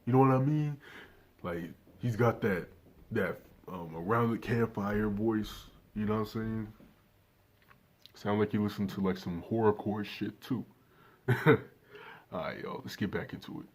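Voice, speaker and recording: 19 yr old dude reacting to videos, husky voice, chill and cussing, cheap mic. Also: male voice, lowish voice, a little slow, pauses often. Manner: laid-back, swears freely, talks straight to viewers. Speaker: reaction channel host. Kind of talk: casual reaction commentary. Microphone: no proper microphone.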